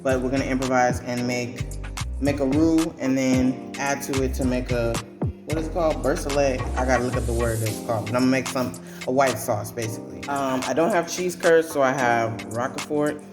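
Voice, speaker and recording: A woman's voice: a bass voice, a little fast, over music. A woman in her thirties hosting a casual cooking vlog from her home kitchen, talking in a chatty, rambling way with restarts. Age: 30s. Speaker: vlogger and mother. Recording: echoey kitchen.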